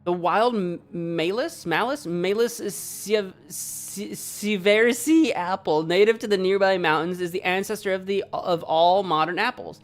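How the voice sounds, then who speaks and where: A woman streaming a game, chatting casually, cracking jokes, laughing often and thinking out loud. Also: medium pitch, a little slow, hardly any pauses. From United States. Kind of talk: casual live-stream commentary.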